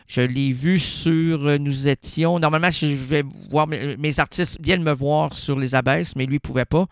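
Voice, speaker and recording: Rambling Québécois man with a gravelly voice. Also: a little high, steady tone, normal speed, no echo, clean studio sound. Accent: Québécois French. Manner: playful, rambling, talks to himself. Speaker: radio host. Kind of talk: rambling absurd monologue.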